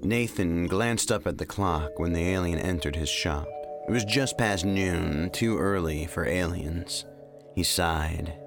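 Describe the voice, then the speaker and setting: Low-voiced man narrating short fiction. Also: measured pace. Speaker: story narrator. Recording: music bed under narration.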